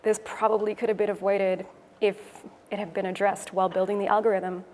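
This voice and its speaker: A woman from Toronto with a Canadian English accent gives a prepared conference talk in a clear, measured, explanatory way. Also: medium pitch, little pitch movement, a little mellow. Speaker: conference speaker, web developer. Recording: echoey hall, noisy room ambience.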